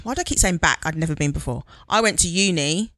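A man's voice: a little high, expressive, fast, bright, forceful tone, no room sound, studio quality. A man, questioning and reasoning through his view in a casual chat. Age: 40s. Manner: questioning, reasoned, hesitant restarts. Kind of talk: casual debate among friends.

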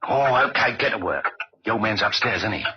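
An actor playing a pushy, scornful small-time crook with slangy, bossy talk in an old-time radio crime drama. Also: male voice, on the low side, animated, a little fast, breathy edge. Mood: tense, impatient. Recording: dull, lo-fi vintage radio recording.

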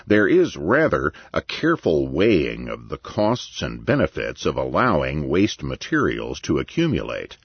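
A man reading a nonfiction essay as an audiobook narrator, with measured, deliberate and emphatic phrasing and a gravelly, husky voice. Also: rumbling low, wildly swooping pitch, no echo, lo-fi sound.

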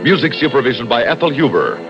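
A man's voice: mid-range voice, very animated, fast. A man announcing scripted closing credits in the style of 1960s radio drama. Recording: dull vintage radio broadcast, loud music behind.

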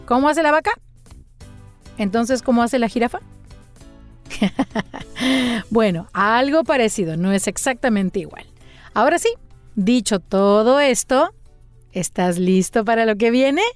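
A woman's voice: a little high, measured pace, long pauses, smooth, faint music behind. A woman who hosts a children's storytelling show, chatting playfully and reading out messages from young listeners. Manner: warm, playful and animated, reading out fan messages. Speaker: children's storyteller and channel host. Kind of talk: friendly chat to young listeners.

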